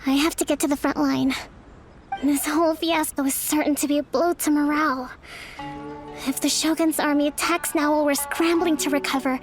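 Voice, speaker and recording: An actress playing a young, thoughtful female military leader in a video game, soft and measured in delivery. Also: high voice, natural voice. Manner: measured, formal, deliberate. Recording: music behind the voice.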